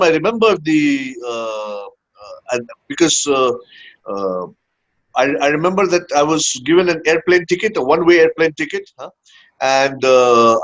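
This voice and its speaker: A man from India, a leadership coach telling his story in a casual interview, full of fillers and "huh?" tags. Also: fairly high pitch. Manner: rambling, many "uh" fillers and tag "huh?". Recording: dull lo-fi audio.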